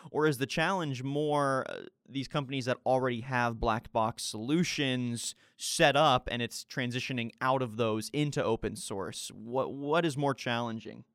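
A man's voice: medium pitch, moderate pace, few pauses, a bit bright, no echo, clean studio sound. A man hosting an interview, putting conversational questions to his guest. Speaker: interviewer, host.

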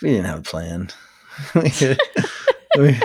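A man, a company founder, telling his story in a relaxed, self-deprecating interview. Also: mid-range voice, slow pace, slightly rough.

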